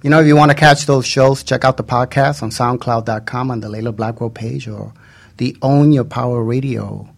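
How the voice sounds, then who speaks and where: A man's voice: medium pitch, steady tone, normal speed, creaky. A man co-hosting a radio show in a studio with casual, flirtatious banter.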